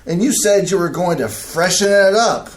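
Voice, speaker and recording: A man's voice: high voice, normal speed, echoey room, natural voice. A man playing a grumbling, grumpy husband. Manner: grumbling and complaining, with folksy oaths. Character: grumpy, set-in-his-ways middle-aged husband. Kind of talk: staged play reading.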